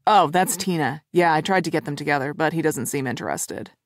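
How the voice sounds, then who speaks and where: A woman voicing a cheerful, easygoing young man playing matchmaker, in a slightly lower, relaxed tone. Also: fairly quick, no room sound, clean studio sound. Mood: eager.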